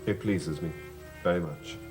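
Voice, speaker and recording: An actor playing a brooding, courteous master of a manor house in a gothic soap opera, earnest and dramatic. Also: male voice, low-pitched, unhurried. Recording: music behind the dialogue.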